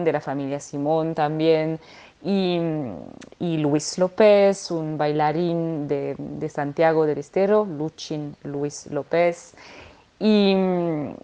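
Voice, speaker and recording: A woman's voice: lowish voice, darkish tone. A French woman singer speaking Spanish with a French accent, answering interview questions with many hesitations and restarts. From France.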